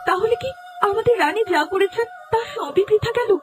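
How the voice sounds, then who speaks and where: A woman's voice: extremely high pitch, animated, a little fast, music in the background. A woman voicing a sorrowful, innocent young daughter in a fairy-tale cartoon, plaintive and questioning. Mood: sad, pleading.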